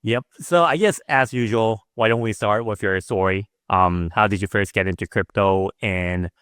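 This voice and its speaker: A man's voice: on the low side, normal speed, a bit bright, clear, resonant tone, dry recording, clean studio sound. A man hosting a podcast, welcoming listeners and a guest in an upbeat, friendly way. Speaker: podcast host. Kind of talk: podcast introduction.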